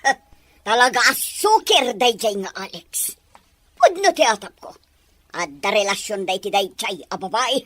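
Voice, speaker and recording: A woman's voice: mid-range voice, expressive, normal speed, fairly bright tone, no room sound. A woman playing a domineering, haughty rich mother in a melodramatic audio drama, scolding and imperious. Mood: scheming. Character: domineering, haughty rich mother pushing her son to marry. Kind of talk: melodramatic radio-style drama acting.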